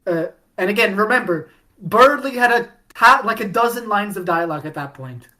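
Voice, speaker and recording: A woman's voice: medium pitch, lively intonation, moderate pace, bright, forceful tone. A woman co-hosting a fan podcast, talking casually with lots of restarts and fillers as she retells fandom history. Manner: rambling, many restarts and fillers, laughs often. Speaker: podcast co-host.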